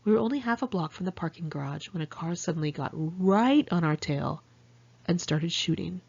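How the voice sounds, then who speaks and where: Woman, American accent, low voice, candid conversational audiobook narration, slightly dull home recording. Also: animated, moderate pace, gravelly, a little mellow, breathy edge. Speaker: author reading own memoir. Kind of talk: first-person audiobook narration.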